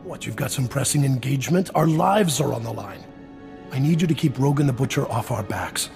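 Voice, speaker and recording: Gravelly, glib fixer. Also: male voice, mid-range voice, lively intonation, brisk, darkish tone, in a large hall, faint music behind. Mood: coaxing. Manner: glib, coaxing salesman-like talk. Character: brisk, persuasive, wheedling male smuggling-crew fixer. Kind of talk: video game voice acting.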